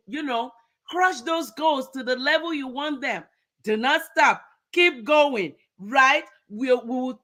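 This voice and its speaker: A woman hosting a live motivational talk show, energetic and upbeat, often ending phrases with "right?". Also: high-pitched. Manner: energetic, repeats words, ends phrases with "right?". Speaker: host.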